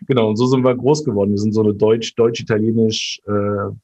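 A man's voice: fairly low pitch, fairly even intonation, brisk, warm tone, lo-fi sound. A man from Germany, a guest in a casual interview, talking hesitantly with many fillers and tag questions.

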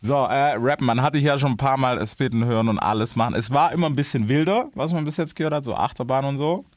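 A man's voice: mid-range voice, fast, no room sound. A young man hosting a podcast interview, talking in a loose, laid-back way and dropping English slang words into his chat. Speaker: podcast host.

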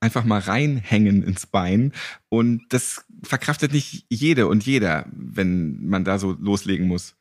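A male interviewer with a rather low, husky voice. He chats casually with his guest, responding in everyday slang and playful wordplay. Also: animated, a little slow. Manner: jokey, colloquial reactions with wordplay. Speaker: interviewer, podcast host.

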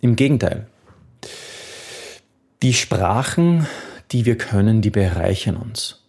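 A man's voice: on the low side, expressive, takes it slowly, gravelly, a little mellow, husky. A man coaching on memory techniques, answering a viewer's question in an earnest, encouraging way with occasional restarts.

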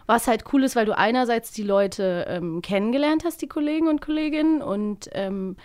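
A woman's voice: fairly high pitch, moderate pace, a little mellow, clear, resonant tone. A woman chatting casually, often rambling and breaking off her own sentences to rephrase them. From Germany. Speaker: voice actress and podcast co-host. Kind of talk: casual chat.

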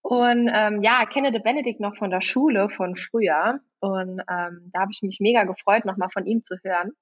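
A woman's voice: mid-range voice, moderate pace, lo-fi sound. A woman, a guest in a podcast interview, chatty and giggly, with a bit of a cold. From Germany, Saarland. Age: 20s. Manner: giggly, chatty, many fillers. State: has a cold. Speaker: guest, wedding officiant.

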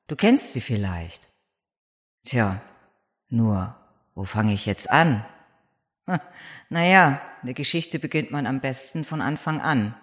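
An ancient vampire acted by a performer reflects aloud in a radio play monologue. The mid-pitched voice muses conversationally, as if confiding in an unseen listener. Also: close to an everyday voice. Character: ancient vampire who looks young, reflective storyteller. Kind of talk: dramatic in-character monologue.

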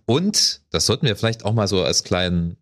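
A man hosting a music talk, explaining in a relaxed way and often agreeing. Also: on the low side, normal speed. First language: German. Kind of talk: conversational discussion.

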